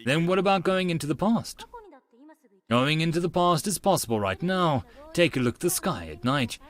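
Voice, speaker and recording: A man voicing a gutsy, sharp-tongued eighteen-year-old genius girl who lectures assertively in an audiobook. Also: on the high side, lively intonation, normal speed, natural voice.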